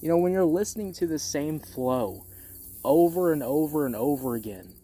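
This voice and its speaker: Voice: medium pitch, lively intonation, moderate pace, dark tone, breathy edge. A music critic giving a casual, opinionated album review, speaking bluntly and repeating phrases for emphasis. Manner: casual swearing.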